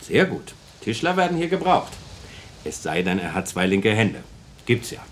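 An actor playing a strict, domineering schoolteacher in a radio drama, barking, sarcastic and pedantic. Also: male voice, on the low side, big pitch swings, moderate pace, a little hiss or hum. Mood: sarcastic, probing. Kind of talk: German radio drama acting.

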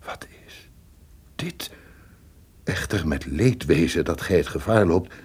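An actor playing a scheming, suspicious conspirator who feigns friendship, with formal theatrical delivery in a gothic radio drama. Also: male voice, deep voice, expressive, takes it slowly, a little mellow, exaggerated voice. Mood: vengeful. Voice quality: gravelly, husky. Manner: formal, theatrical, breaking into tense muttering.

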